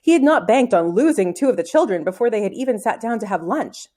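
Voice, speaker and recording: A woman reading a children's novel aloud as an expressive storybook narrator. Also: on the high side, quick pace. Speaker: storybook reader.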